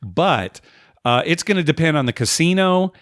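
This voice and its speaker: A man's voice: fairly high pitch, expressive, normal speed, a bit bright, no room sound, clean studio sound. A man co-hosting a casual gambling-style talk podcast in an agreeable, informal, chuckling way. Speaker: podcast host.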